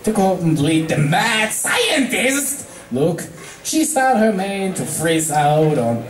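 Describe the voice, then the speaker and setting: An amateur reader narrates a fan-written story with a lively, swinging pitch. The reading is halting, with stumbles over words, and the room adds noticeable echo. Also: recorded from a distance. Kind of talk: amateur fan fiction narration, read aloud. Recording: echoey room.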